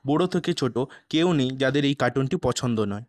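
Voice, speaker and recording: A man's voice: mid-range voice, animated, brisk, dry recording, clean studio sound. A man reading scripted narration for an online video.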